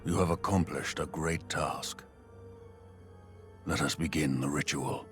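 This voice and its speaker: A man's voice: rumbling low, fairly even intonation, unhurried, music in the background. A man voicing a grave, courteous ritual elder in a fantasy game, formal and solemn.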